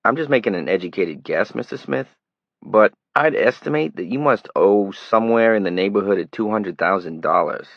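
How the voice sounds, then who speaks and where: A man voicing a pushy male tabloid reporter with a flat, nasal Brooklyn accent. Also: on the low side, moderate pace, no room sound, muffled recording. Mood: smooth pushy. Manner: pushy, glib salesman patter.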